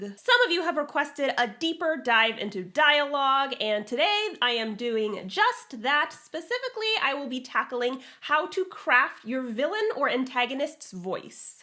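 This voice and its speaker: A woman's voice: high-pitched, sharp tone. A woman hosting a scripted writing-advice vlog, speaking in an emphatic, theatrical, sassy manner with casual swearing. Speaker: host, writing advice YouTuber and author. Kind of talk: upbeat scripted vlog tutorial to camera.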